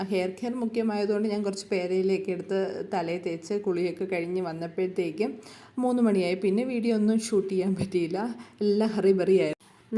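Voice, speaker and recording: A woman's voice: medium pitch, dark tone. A woman with a Malayali accent narrating her day as a vlogger in a casual, conversational, homely storytelling way. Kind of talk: casual daily vlog narration.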